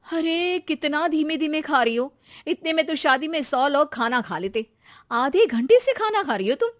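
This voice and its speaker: A woman voicing a brisk, boastful young daughter-in-law in a cartoon story, teasing and impatient in manner. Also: sits high, lively intonation, pitched above the performer's own voice. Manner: sharp, bragging, teasing. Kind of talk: cartoon moral story character voice.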